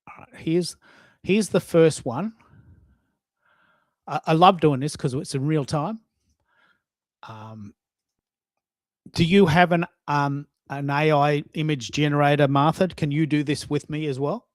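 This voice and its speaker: A man hosting a live online audio show, chatting casually and thinking aloud in a halting way, often repeating himself as he addresses listeners. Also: fairly high pitch, no room sound.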